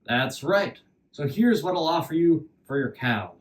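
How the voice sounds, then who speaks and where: A man voicing a wistful, kindly old fiddler who speaks in measured riddles in a live-style folktale telling. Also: a little high, animated, far from the mic. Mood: persuasive, mysterious. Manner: measured, cryptic, addresses the boy as son.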